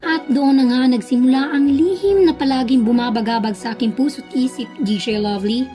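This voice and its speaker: A young woman with a Filipino accent reading scripted radio drama narration in an earnest, measured way, playing host and confessional letter writer. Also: sits high, close to an everyday voice. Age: young adult. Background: music.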